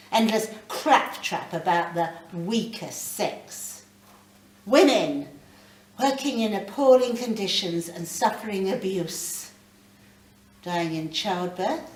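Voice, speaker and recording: A woman reading literary fiction aloud live, with measured, dramatic phrasing and pauses. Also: mid-range voice, a little mellow. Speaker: reader of a short story. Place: library reading event, indoors. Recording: roomy, distant mic, faint background noise.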